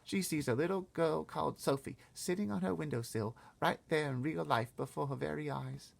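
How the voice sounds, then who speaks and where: A man's voice: a little high, moderate pace, gravelly, voice pushed up. A man voicing a bossy, eager little girl in a storybook read-aloud, explaining things emphatically and confidently.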